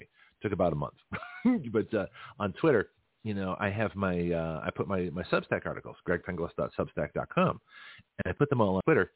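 A man's voice: deep voice, no room sound. A middle-aged man hosting a talk radio show, rambling in a casual monologue with frequent restarts and chuckles. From Canada. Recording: tinny phone-line audio.

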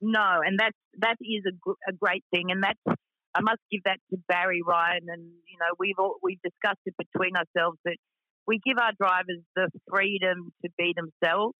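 A woman with a deep voice who owns a racing team, talking frankly with lots of fillers in a radio interview. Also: steady tone, moderate pace, dry recording, highs cut off. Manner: frank, chatty, many fillers.

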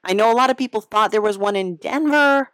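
A woman's voice: on the high side, fast talker, a bit bright, no room sound. A woman hosting a podcast interview in a casual, playful, rambling way, often hesitant and giggly.

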